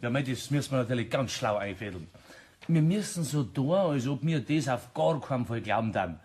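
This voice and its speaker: Radio-play role: jovial Bavarian pub schemer, husky, swooping, bossy and mischievous. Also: male voice, mid-range voice, animated, fairly quick, a little mellow. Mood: scheming. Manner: bossy ringleader, chuckling.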